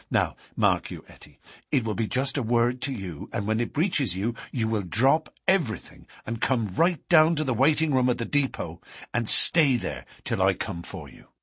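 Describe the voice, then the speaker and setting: Guy doing a solemn young outlaw man in an audiobook, urgent, deliberate, rough husky voice, very close and dry. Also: lowish voice, fairly bright tone, dry recording.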